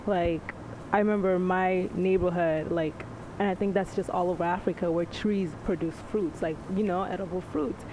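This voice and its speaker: A woman's voice: lowish voice, moderate pace. Young congolese-born woman rambling casually on a panel, lots of "like"s, noisy background. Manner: relaxed, chatty, lots of likes and ums. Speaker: panel guest. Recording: noisy room.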